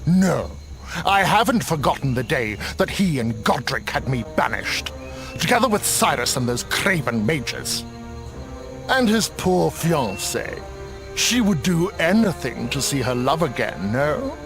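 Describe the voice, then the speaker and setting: An actor playing a sly old necromancer in a video game, mockingly courteous and gleefully macabre, with a theatrical drawl. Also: male voice, on the high side, big pitch swings, unhurried, music in the background. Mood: scheming. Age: older. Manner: theatrical, drawling, mock-sweet. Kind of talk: video game cutscene acting.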